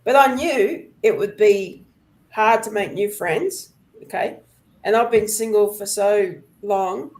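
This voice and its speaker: Unhurried woman in her sixties. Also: medium pitch, darkish tone. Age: 60s. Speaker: panel guest. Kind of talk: casual chat.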